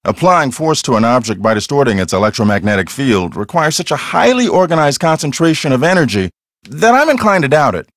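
A man's voice: medium pitch, big pitch swings, brisk, fairly bright tone, dry recording, studio quality. A man playing a cocky, earnest TV ghost hunter in a video game, explaining things in a theatrical, self-assured way. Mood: earnest, intense.

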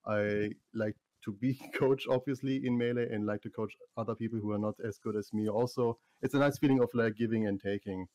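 A man, a guest on a voice call, answering in a relaxed, drowsy, unhurried way. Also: on the low side, no echo. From Germany. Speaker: guest streamer. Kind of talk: casual interview over voice call.

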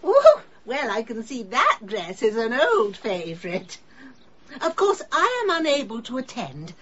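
A woman's voice: on the high side, wildly swooping pitch, unhurried, fairly bright tone, lo-fi sound, exaggerated voice. An actress playing a nosy, grand English village lady in a radio drama, pointed and archly polite. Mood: haughty, snide.

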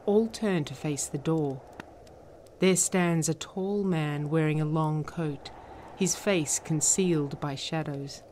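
A woman narrates a drama script with a low voice and slow pace. Her delivery is measured and descriptive, setting out each stage direction. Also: darkish tone, a little hiss or hum.

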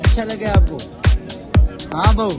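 Loud electronic music runs over this young man. He talks casually as a vlogger does, addressing viewers and friends alike. It is recorded outdoors on a phone held at arm's length. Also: high voice, a little slow. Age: 20s. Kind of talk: casual vlog chat with friends. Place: outdoors at night, street and open-air restaurant.

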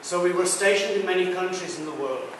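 A man in his sixties gives a talk with a fairly high voice, recorded from across a very echoey room. He is chatty and jokes with the audience, and faint room noise sits underneath. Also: a little fast, distant mic. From Britain. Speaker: guest speaker. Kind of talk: public talk. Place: large echoey lecture room. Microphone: far camera mic.

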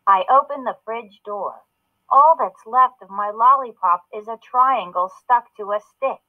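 A woman's voice: fairly high pitch, a little slow. Woman reading a storybook, phone-like sound. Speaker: storybook narrator. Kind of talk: children's story read-aloud.

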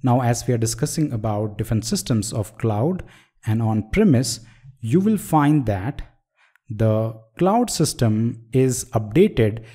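Man explaining over slides, mellow tone. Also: mid-range voice. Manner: explanatory, asks the viewer questions, frequent "so". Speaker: software trainer. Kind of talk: screen-recorded tutorial lecture.

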